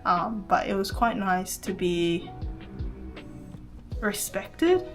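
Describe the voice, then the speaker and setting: A woman's voice: medium pitch, big pitch swings, slow pace, faint music behind. Young woman narrating a travel vlog. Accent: American. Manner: earnest, recommending, reads list items. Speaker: travel vlogger. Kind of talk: scripted voice-over narration.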